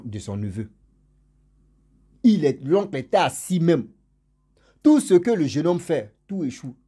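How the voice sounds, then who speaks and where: A man with a cold and a cough, telling a story to his followers in a casual spoken way. He repeats words for emphasis and swings between loud stressed words and endings that fade low. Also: husky. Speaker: storyteller for his channel's subscribers.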